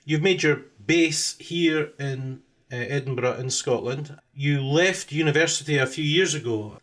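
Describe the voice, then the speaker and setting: A man hosting a music podcast, asking long, winding interview questions. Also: a little high. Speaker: podcast host.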